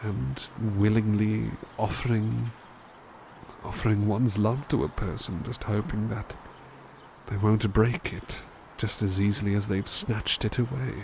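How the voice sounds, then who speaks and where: A man's voice: on the low side, steady tone, a little slow, creaky, darkish tone, a little hiss or hum. A man playing a kind, courteous butler who reads poems aloud and muses on them in a formal, polite way for an ASMR roleplay. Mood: musing. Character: kind, courteous male butler reading to listener.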